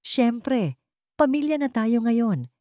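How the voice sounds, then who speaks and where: A woman's voice: fairly high pitch, big pitch swings, slow, mellow, no echo, studio quality, pitched above the performer's own voice. A woman voicing a charming, affectionate and reassuring glamorous wife in a story. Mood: soothing.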